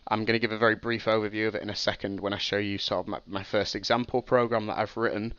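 A man's voice: fairly low pitch, level tone, quick pace, fairly bright tone, clear, resonant tone, dry recording, dull audio. Sniffly young man explaining code. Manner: thinking aloud, many ums and restarts. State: sniffly with a cold. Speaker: streamer teaching game programming. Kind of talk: casual live-stream explanation.

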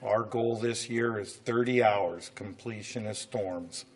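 A middle-aged American man with a cold that makes his voice come and go, giving an informal, plain-spoken, halting presentation as a city official at a council podium. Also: fairly low pitch. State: voice coming and going from a cold. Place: indoor council chamber, standing at a podium.

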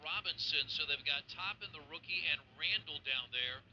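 High-voiced man calling a basketball game. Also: expressive, dull audio, background noise. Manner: brisk play-calling. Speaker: basketball play-by-play commentator. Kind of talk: broadcast sports commentary.

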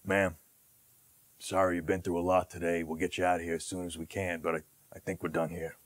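A man playing a subdued, sympathetic police sketch artist who talks gently. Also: level tone, a little fast, dry recording, close to an everyday voice. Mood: sombre. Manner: low, gentle.

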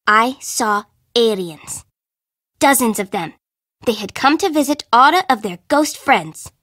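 An actress playing a dramatic, friendly young woman in a video game, expressive and informal. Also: high voice, no echo, studio quality. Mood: spooky, conspiratorial. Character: perky, dramatic young woman, friendly but easily spooked. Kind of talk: scripted game dialogue.

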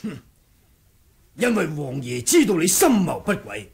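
Cunning martial monk for 1980s radio drama: rather high, lively pitch, deliberate and sly, husky. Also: male voice, full character voice. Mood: menacing, taunting. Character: cunning martial monk who feigned muteness, scheming and composed.

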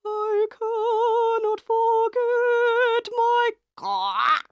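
Brief: haughty vain diva, high and loud, slow and dry, read by a woman. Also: very animated, dry recording. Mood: croaking song. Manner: raised, haughty and indignant.

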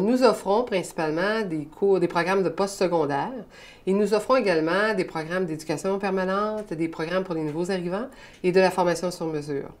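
Composed older woman, lowish voice. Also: a little mellow. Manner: composed, informative. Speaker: college representative. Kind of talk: interview explanation. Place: indoor office.

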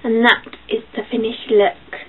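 A young woman with a croaky voice, chatting casually through a makeup tutorial in her bedroom, with filler ums as she goes. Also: a little high, level tone, unhurried. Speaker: beauty vlogger. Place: small bedroom. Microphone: built-in webcam mic. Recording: tinny lo-fi webcam audio, faint hiss.

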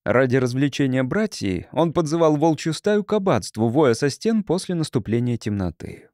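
A man's voice: medium pitch, animated, moderate pace, darkish tone, no echo, clean studio sound. A man reading literary fiction as a scripted audiobook narration.

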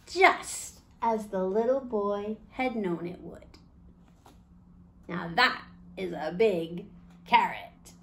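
A woman's voice: medium pitch, slow, pauses often, a little hiss or hum. A woman in her forties reading a picture book aloud to children as a storytime host, in simple phrases with questions to the listeners. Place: small indoor room.

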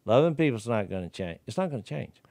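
A man, co-hosting a podcast, talking in an animated, riled-up way on a studio mic. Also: mid-range voice, fast, vocal fry, no echo. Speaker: podcast co-host. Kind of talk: casual religious discussion. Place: wood-paneled studio room. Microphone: studio mic with headphones.

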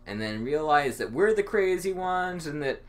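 A man's voice: on the high side, expressive, moderate pace. A young man hosting his own channel, rambling through an improvised, absurd and sarcastic monologue with lots of stammering. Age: young adult. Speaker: channel host.

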